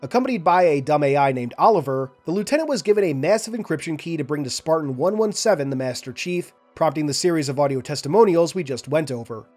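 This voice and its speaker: A man hosting a lore video, narrating and analyzing in a measured, explanatory way. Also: a little high, lively intonation, a little mellow, soft background music.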